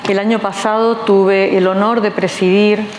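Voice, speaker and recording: A woman's voice: mid-range voice, little pitch movement, moderate pace, rough recording. A woman with an Argentine accent gives formal conference remarks. She speaks careful, hesitant English, since Spanish is her first language. A faint noise sits behind her voice. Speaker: panelist.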